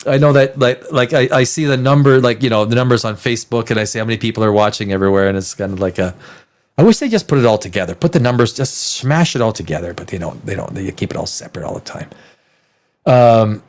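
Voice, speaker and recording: A man's voice: medium pitch, lively intonation, quick pace. A man in his fifties with a North American accent, chatting off the cuff as a livestream host, emphatic and profane, often repeating his phrases. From Canada, born in Czech Republic. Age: 50s. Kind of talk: casual unscripted livestream chat.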